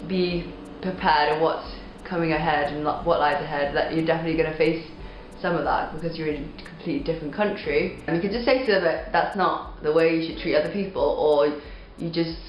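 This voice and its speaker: A woman's voice: low voice, fairly even intonation, fairly quick, hardly any pauses, dull audio, a little hiss or hum. An eighteen-year-old woman from Thailand giving a documentary interview, thoughtful and hesitant, often restarting her sentences. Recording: echoey room.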